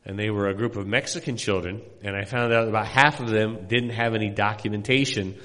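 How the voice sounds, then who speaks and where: A middle-aged missionary pastor from Indiana addresses a congregation in a folksy, meandering way, filling his pauses with "uh". His voice sits fairly low, and it carries through the long echo of a big hall. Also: fairly even intonation, bright, forceful tone. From Indiana, USA. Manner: folksy, rambling, many "uh" pauses. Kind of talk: sermon introduction to a congregation.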